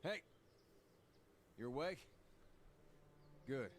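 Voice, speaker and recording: A man reading a slow concerned game companion. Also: medium pitch, expressive, a little hiss or hum, voice pushed up. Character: concerned male companion waking the hero.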